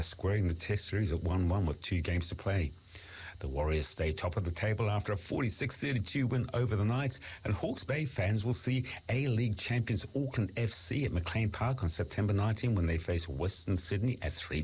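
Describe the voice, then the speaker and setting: A man reading a scripted local news bulletin in a measured, even way. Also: on the low side, husky. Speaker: news reader.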